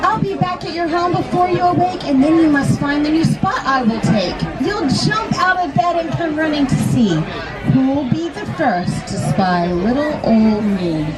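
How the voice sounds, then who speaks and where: High-voiced woman reading aloud, crowd all around. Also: normal speed. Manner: expressive, talks to children with questions. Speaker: children's book author reading aloud. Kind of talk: animated live storybook reading to children. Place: live reading inside a large toy shop. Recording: busy echoey store, crowd and loud music.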